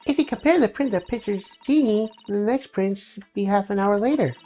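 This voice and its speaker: Woman doing an eager, high-pitched young woman. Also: brisk, mellow, muffled recording, lightly acted.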